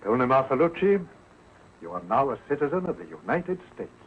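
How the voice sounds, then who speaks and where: An actor playing a kindly, courteous judge who speaks formally, in an early television sitcom. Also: male voice, mid-range voice, big pitch swings, a little fast, close to an everyday voice. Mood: kindly, official. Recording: tinny, noisy old 1940s broadcast.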